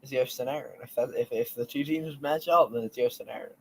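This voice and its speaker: A slightly hoarse man co-hosting a casual stream, joking in a halting way. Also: medium pitch, rough recording. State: a little hoarse. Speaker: co-host. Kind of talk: casual chat. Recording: faint background noise.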